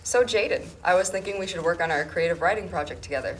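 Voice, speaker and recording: A woman's voice: on the low side, fast talker, natural voice. A woman playing a possessive, jealous college student in a table read of a drama script. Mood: eager. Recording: faint noise, amateur room recording.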